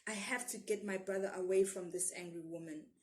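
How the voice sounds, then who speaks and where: A woman's voice: medium pitch, steady tone, brisk. A woman with a South African accent reads a children's story expressively as a storyteller.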